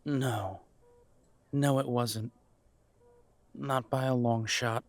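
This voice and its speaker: A man's voice: mid-range voice, steady tone, dry recording. Man acting bitter, sardonic young werewolf son. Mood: angry, accusing. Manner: sardonic, confrontational, deliberate. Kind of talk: audio roleplay drama acting.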